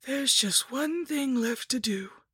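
A cruel, ancient undead sorceress from a dark fantasy audiobook. Her voice is pitched high and husky, sweetly menacing and gloating, recorded close and dry. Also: animated, slow, no room sound, exaggerated voice. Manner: mock-sweet and menacing, hissing outbursts.

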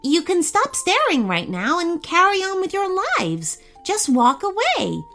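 A woman's voice: very high-pitched, wildly swooping pitch, a little slow, a bit bright, soft background music, voice pushed up, heavily acted. A woman voicing a small, friendly, curious female pickle who speaks politely and earnestly in a children's story. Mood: matter-of-fact.

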